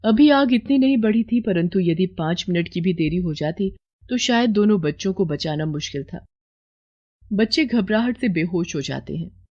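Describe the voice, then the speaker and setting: A woman's voice: medium pitch, animated, moderate pace, mellow, lo-fi sound. A woman narrating a scripted moral story as storyteller.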